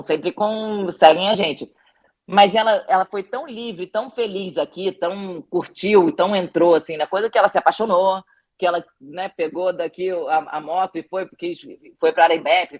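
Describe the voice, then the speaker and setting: A woman with a Brazilian Portuguese accent talks through her documentary in a podcast interview. She tells anecdotes reflectively, circling back and restarting her sentences as she searches for the right words. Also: fairly low pitch. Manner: reflective storytelling with frequent restarts. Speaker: documentary director.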